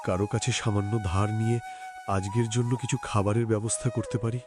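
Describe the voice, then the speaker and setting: Cartoon drama: male actor as a proud, destitute Bangladeshi husband, low-voiced and plaintive, loud music underneath. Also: fairly even intonation, fast talker, lightly acted. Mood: worried, resolved. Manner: plaintive, sorrowful, pleading. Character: despairing, proud, poor middle-class husband. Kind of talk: dramatic cartoon story acting.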